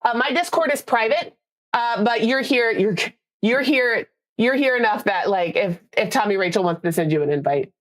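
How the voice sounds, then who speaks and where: A woman's voice: a little high, lively intonation, normal speed. Young woman streamer with a stuffy, slightly nasal voice. Chatty and rambling, laughs easily, casual live chat. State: congested, a little nasal.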